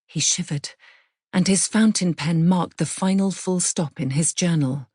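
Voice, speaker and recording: A woman's voice: lowish voice, measured pace, darkish tone, slightly rough, dry recording, studio quality. A woman with a British accent giving a scripted audiobook narration of suspense fiction.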